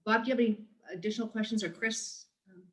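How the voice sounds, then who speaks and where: Woman host of a webinar on a video call, mid-pitched, keeps things moving. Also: steady tone, quick pace, a bit bright, low-quality recording. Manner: organizing, steering to close. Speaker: webinar moderator. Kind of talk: moderating a webinar.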